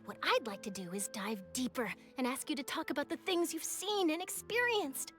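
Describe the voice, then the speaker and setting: An eager young female journalist from a video game. Her voice is very high and highly animated, theatrical and earnest. Music plays prominently behind her. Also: moderate pace. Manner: bubbly, expressive, theatrical.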